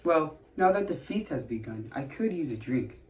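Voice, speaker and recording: Woman playing a low-voiced suave young nobleman, distant. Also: animated, moderate pace, darkish tone. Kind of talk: 1940s radio play acting. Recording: echoey, distant from the mic.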